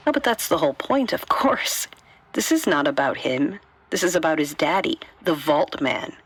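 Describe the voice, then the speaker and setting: A woman's voice: low voice, a bit bright. A woman playing a bitter, guarded bank robber and mother, speaking conversationally in an audio drama monologue. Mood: bitter, accusing. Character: tough female bank robber, bitter mother, wryly sardonic.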